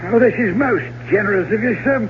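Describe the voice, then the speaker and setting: An actor playing a grateful, deferential old ship's steward in a 1970s radio drama, halting and humble. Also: male voice, high voice, close to an everyday voice. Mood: humble gratitude. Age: older. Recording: muffled old radio recording, faint hum.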